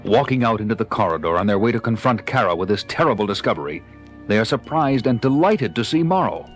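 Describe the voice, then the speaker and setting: Male drama narrator over loud music. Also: fairly low pitch, lively intonation, quick pace. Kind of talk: scripted dramatic audio narration.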